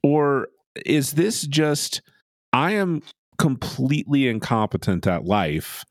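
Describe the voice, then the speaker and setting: A man's voice: medium pitch, slow pace, dry recording, clean studio sound. A man in his thirties co-hosting a podcast, joking sarcastically in casual recap chat from a makeshift home recording space. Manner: sarcastic, joking, swearing, lively swoops into silly voices. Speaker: podcast co-host.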